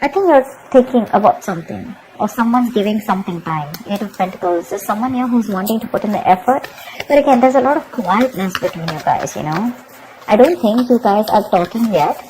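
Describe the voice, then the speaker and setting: A woman's voice: mid-range voice, lively intonation, brisk, noisy background. A woman giving a tarot reading to her viewers, emphatic and repetitive, often checking in with "okay?". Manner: emphatic, repeats herself, keeps asking "okay?". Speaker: tarot reader.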